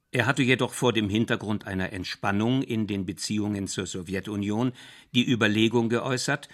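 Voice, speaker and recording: A man reading a history documentary script in a measured, even way. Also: lowish voice. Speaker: documentary narrator.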